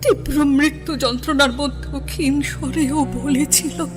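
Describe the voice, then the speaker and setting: A grieving young widow in a radio play, her voice a touch high and full of inner conflict. She moves between aching memory and sharp, guarded refusal, with clear music under her speech. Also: fairly even intonation, unhurried. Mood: anguished. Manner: anguished, then sharp and indignant. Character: grieving young widow schoolteacher, torn and wary. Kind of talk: radio drama acting.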